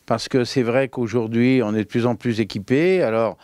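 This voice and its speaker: Brief: male local official, clear resonant tone, hesitant delivery with fillers. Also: mid-range voice, fairly even intonation, normal speed, a little mellow, dry recording. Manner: hesitant, many fillers and restarts. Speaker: local community council president. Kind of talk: on-camera interview statement.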